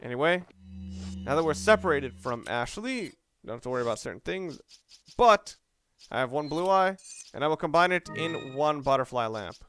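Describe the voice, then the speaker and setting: A man's voice: fairly high pitch, expressive, moderate pace, fairly bright tone, clear, resonant tone, soft background music. A man giving casual, rambling video game commentary, talking through his reasoning aloud as he plays. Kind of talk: casual let's play commentary.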